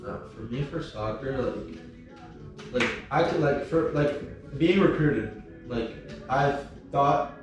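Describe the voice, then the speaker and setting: A man's voice: medium pitch, slow pace, far from the mic. A young man from Venezuela, a college freshman guest, rambling with many fillers and restarts. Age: young adult. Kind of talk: casual chat. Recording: echoey room from afar with music.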